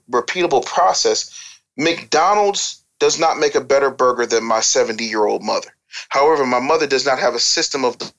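A man's voice: on the high side, fairly bright tone. An American man giving opinionated, unscripted advice as a podcast guest, blunt, profane and scolding.

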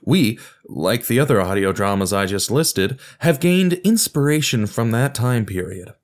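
A man's voice: medium pitch, expressive, unhurried. A man hosting a podcast, reading scripted narration in an explanatory, presentational way. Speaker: podcast host.

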